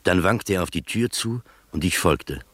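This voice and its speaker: Male narrator, measured, literary radio play, low pitch, close dry sound. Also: expressive, a bit bright, no echo. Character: observant male first-person storyteller.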